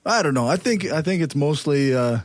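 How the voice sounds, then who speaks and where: A man's voice: on the high side, animated, moderate pace. A man from Saskatchewan with a strong Canadian prairie accent, chatting hesitantly on a podcast with short, laughing replies. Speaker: podcast crew member. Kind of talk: casual chat.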